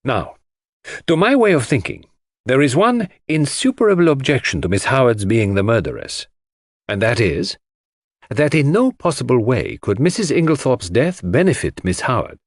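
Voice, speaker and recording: A man's voice: mid-range voice, sing-song, moderate pace, no echo, clean studio sound, voice pushed up. A man playing a shrewd, irritable detective, emphatic and swooping. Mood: confident, reasoning. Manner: precise, emphatic, didactic.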